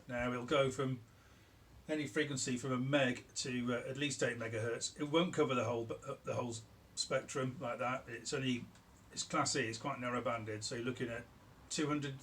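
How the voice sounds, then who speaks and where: A middle-aged man giving a casual, informal product demonstration in a small indoor workshop, explaining haltingly and repeating words for emphasis. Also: medium pitch, fairly bright tone. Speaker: electronics builder presenting their own product. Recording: clear background noise in a room.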